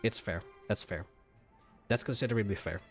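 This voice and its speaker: A man with a fairly low voice chats casually as a game streamer. He talks in a halting way and often repeats a phrase to stress it. Faint music plays behind him. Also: fairly bright tone. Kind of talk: casual livestream commentary and reaction.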